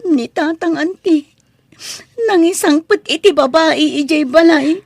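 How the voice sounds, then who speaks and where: Woman acting high-pitched, plaintive Ilocano daughter. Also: a little slow, creaky, no room sound, studio quality. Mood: tearful, pleading. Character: grown daughter, dismayed by her widowed father's romance. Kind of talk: Ilocano comedy radio drama acting.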